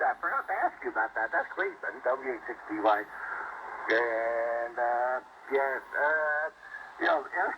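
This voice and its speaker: Rambling man on a noisy ham radio. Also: mid-range voice. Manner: rambling, many fillers and restarts. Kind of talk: casual on-air radio chat. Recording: noisy shortwave radio reception.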